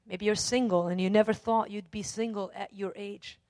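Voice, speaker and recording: A woman from Lebanon preaching a sermon, repeating phrases for emphasis and moving between hushed and forceful delivery. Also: mid-range voice, steady tone, moderate pace, no room sound. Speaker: preacher, Bible teacher. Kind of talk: sermon with scripture reading and personal testimony.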